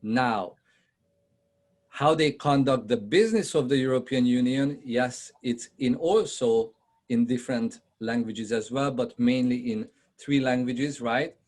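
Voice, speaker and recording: A man from Hungary with a Hungarian accent, giving a conversational presentation on a video call, often pausing with fillers and asking his audience questions. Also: medium pitch, a little mellow. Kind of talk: online presentation to realtors.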